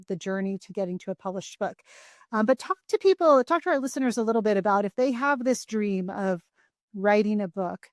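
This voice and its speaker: A woman hosting a podcast who asks long, thoughtful questions in a relaxed conversational way. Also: mid-range voice, dry recording, studio quality. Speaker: podcast host. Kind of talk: casual interview conversation.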